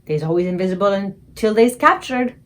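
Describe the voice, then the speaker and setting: A woman voicing an excitable, kindly old male giant who mangles grammar and invents words. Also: mid-range voice, brisk, natural voice. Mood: agitated.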